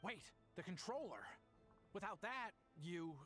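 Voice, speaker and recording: A man's voice: sits high, lively intonation, measured pace, a bit bright, faint music behind. A man voicing a conflicted, desperate family man in a video game, earnest and strained as he pleads. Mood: dismayed.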